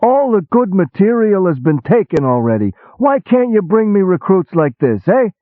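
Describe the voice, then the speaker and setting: A man's voice: high-pitched, moderate pace, dry recording, voice pushed up. A man voicing a brash, sarcastic army boss who talks like a streetwise gangster. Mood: pleading. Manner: sarcastic, excitable, shouting, streetwise gangster talk. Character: loud brash middle-aged male army boss. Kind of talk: audiobook character voice. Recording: tinny phone-like sound.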